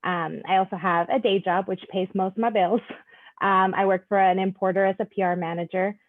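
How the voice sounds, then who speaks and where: A woman's voice: mid-range voice, steady tone, fairly quick, no echo. A woman from Panama, a guest chatting casually and laughing often, heard over a video call. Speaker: guest, wine events founder. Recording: tinny phone-like call audio.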